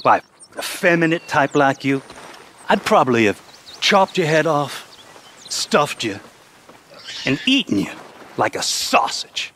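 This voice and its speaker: Crabby old rural American outlaw. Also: male voice, on the high side, sing-song, unhurried, long pauses, sharp tone, a little hiss or hum, full character voice. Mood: taunting. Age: older. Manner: crude, menacing, taunting. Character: crabby, boastful old outlaw turned hog farmer. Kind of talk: video game cutscene acting.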